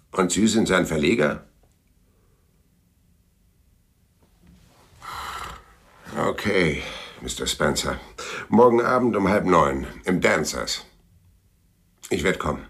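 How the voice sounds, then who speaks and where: An actor playing a world-weary, wry private detective in a noir radio play, with a laconic, dry, gravelly delivery. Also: male voice, sits low, a bit bright, no room sound, full character voice. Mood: businesslike. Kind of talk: hardboiled noir radio drama acting and narration. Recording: studio radio play with music underneath.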